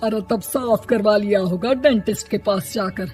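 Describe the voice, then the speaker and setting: A woman voicing an exasperated older mother-in-law, sharp-tongued and complaining, in a cartoon story. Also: medium pitch, moderate pace, soft background music, lightly acted. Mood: scolding, exasperated. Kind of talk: Hindi cartoon story dialogue.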